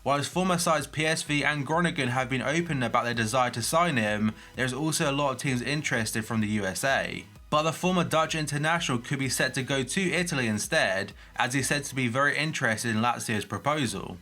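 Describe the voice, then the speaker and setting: A man's voice: medium pitch, fairly quick, hardly any pauses, sharp tone. A young man with a groggy, hay-fever voice, reading a football transfer news roundup with brief personal asides.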